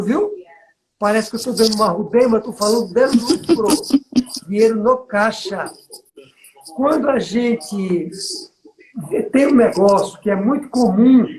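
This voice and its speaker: A man's voice: very high voice, a little mellow, echoey room, low-quality recording. A middle-aged man with a Northeastern Brazilian accent, chatting as a business mentor and telling stories while checking that the listener follows. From Bahia, Brazil. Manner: storytelling, asks listener for agreement. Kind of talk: conversational business coaching.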